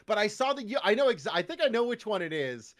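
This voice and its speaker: A man's voice: sits high, sing-song, fast. A Canadian man chatting casually as a podcast guest, animatedly slipping into mock voices when he quotes people. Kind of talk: casual interview chat.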